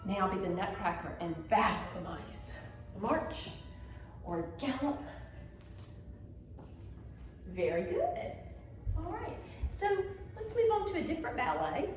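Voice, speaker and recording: A woman's voice: medium pitch, very animated, slow pace, long pauses, slight background noise. Woman teaching dance in a very echoey room. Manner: encouraging, simple step-by-step explaining with short prompts. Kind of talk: instructional video lesson, demonstrating to pupils. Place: indoor room at home.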